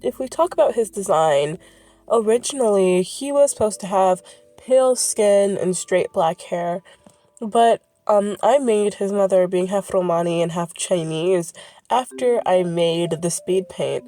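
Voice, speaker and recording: A woman's voice: medium pitch, a little slow, warm tone. Sick, sniffly woman rambling casually. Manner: rambling, self-correcting, goes off script. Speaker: creator presenting her characters. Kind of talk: casual rambling vlog commentary.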